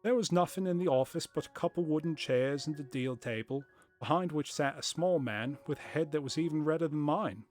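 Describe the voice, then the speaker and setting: A man's voice: on the high side, a little fast, darkish tone, faint music behind, natural voice. A man doing a chatty old-time shopkeeper. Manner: put-on period accent, storytelling. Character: chatty middle-aged male shopkeeper telling his story. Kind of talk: reading a story aloud.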